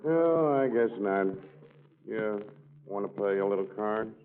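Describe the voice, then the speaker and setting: An actor playing a slow, folksy, easygoing small-town club man in a 1940s radio comedy. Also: lowish voice, lively intonation, slight background noise. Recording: muffled 1940s radio recording.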